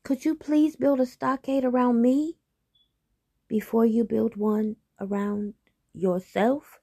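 Woman acting out a high, pleading storybook tiger. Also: fairly even intonation, dark tone, slightly rough, no echo, studio quality, exaggerated voice. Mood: panicked pleading. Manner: drawn-out, wheedling, overdramatic pleading. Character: hungry, pleading, easily panicked male tiger. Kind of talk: animated storybook character voice.

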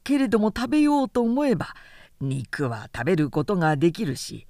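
A woman's voice: medium pitch, very animated, a little fast, warm tone, dry recording, clean studio sound. A woman voicing a garrulous, self-important middle-aged samurai man who lectures at length in a storybook reading. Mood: scornful lecturing. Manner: long-winded, pompous, lecturing.

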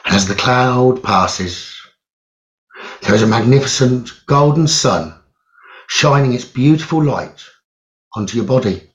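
A man leading a guided meditation in a soothing, measured way, leaving long pauses between phrases. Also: mid-range voice, lively intonation. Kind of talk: slow guided meditation narration. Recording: roomy echo, dull lo-fi sound.

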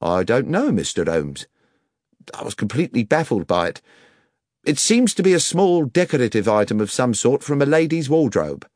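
A man's voice: mid-range voice, big pitch swings, normal speed, a little mellow, no echo, clean studio sound, pitched above the performer's own voice. A man voicing an earnest, deferential police inspector who is puzzled, in an audiobook reading. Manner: eager, deferential.

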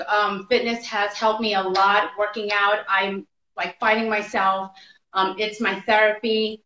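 A woman's voice: fairly high pitch, bright, forceful tone. An American woman in her thirties, a fitness entrepreneur, chatting as a guest with many fillers over a video call. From United States. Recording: echoey, dull lo-fi call audio.

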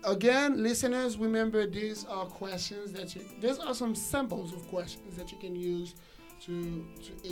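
A woman hosting an English lesson show, talking directly to listeners in an upbeat, encouraging way. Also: medium pitch. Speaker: English teacher and show host.

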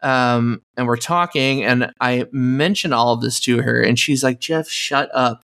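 A calm man speaks unhurriedly, with a gravelly edge to his mid-pitched voice. He is a podcast guest in relaxed conversation, and he leans on fillers as he goes. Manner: slow, calm, with many you-knows. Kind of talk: casual conversation.

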